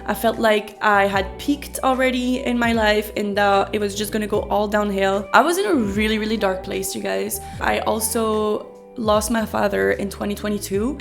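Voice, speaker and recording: Chatty young streamer, slight French tinge. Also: female voice, medium pitch, creaky. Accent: American with a slight French tinge. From France. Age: 20s. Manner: chatty, candid, swears casually, self-deprecating. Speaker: streamer and content creator. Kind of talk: casual talking-head Q&A. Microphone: iPhone camera mic.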